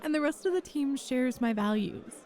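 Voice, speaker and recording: A woman playing a kind, flirty female bar manager in an ASMR roleplay, gently reassuring and encouraging a nervous job applicant. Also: high voice, animated, moderate pace, a faint crowd. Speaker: voice actor in an audio roleplay. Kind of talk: ASMR roleplay, one-sided conversation.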